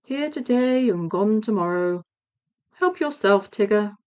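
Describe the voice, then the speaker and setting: A woman voicing a gloomy, sarcastic male donkey in a children's storybook, speaking in a slow, drawn-out, mournful way. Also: medium pitch, lively intonation, a little mellow, smooth, highs cut off, close to an everyday voice. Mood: gloomy, dry.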